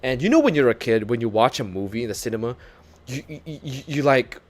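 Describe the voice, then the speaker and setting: A man's voice: mid-range voice, brisk. A young Filipino man streaming as a VTuber, chatting casually and laughing often, with English that leans North American. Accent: light North American-leaning English, Filipino speaker. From Philippines. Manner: chatty, self-deprecating, laughs often, animated when retelling. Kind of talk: casual live-stream chat with viewers.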